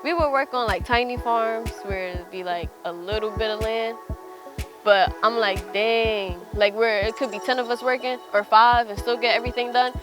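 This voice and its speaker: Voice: mid-range voice, fairly even intonation, moderate pace, music in the background. A farm worker talking casually in an interview.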